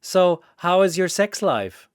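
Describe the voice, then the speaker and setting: A man acts an oblivious, friendly oddball in a comic story. His voice swings up and down with awkward cheer, each word unhurried. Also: sits high, sing-song, clean tone, dry recording, studio quality, voice pushed up, exaggerated voice.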